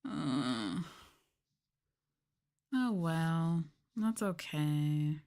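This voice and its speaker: Low-pitched woman, soft ASMR stream chat. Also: animated, drawn-out words. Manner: casual, rambling, swears. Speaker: VTuber streamer. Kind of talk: ASMR livestream soft-spoken casual chat.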